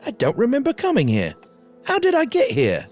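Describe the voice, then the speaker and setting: A man's voice: very high-pitched, wildly swooping pitch, fairly quick, darkish tone, faint music behind, voice pushed up, heavily acted. A man voicing startled, groggy men from a haunted-house story in an exclamatory, theatrical way. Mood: surprised. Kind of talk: dramatic story reading.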